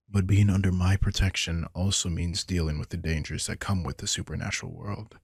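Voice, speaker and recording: A man playing a calm, protective vampire king in an intimate audio roleplay, speaking in a measured, reassuring way. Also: low-pitched, vocal fry, slightly rough, no echo, studio quality, heavily acted. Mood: serious, protective.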